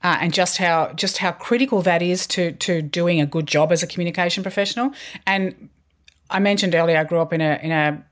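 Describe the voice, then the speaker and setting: A woman's voice: fairly low pitch, fairly even intonation, a little fast. A middle-aged woman, a communications leader, telling stories as a podcast guest in an earnest way with frequent restarts. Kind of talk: podcast interview, candid storytelling.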